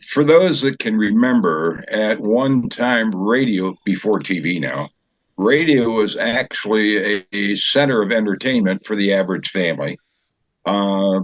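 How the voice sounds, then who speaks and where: An older man being interviewed as a guest over a remote call, explaining things in a measured, halting way. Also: lowish voice, dry recording. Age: older, 70s or so. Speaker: guest from a community theater group. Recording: dull, band-limited call audio.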